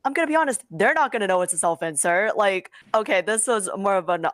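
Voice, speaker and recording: A woman's voice: mid-range voice, lively intonation, fast talker, a bit bright, no room sound. A woman webcomic creator talking informally and emphatically in a casual panel discussion, giving her opinions.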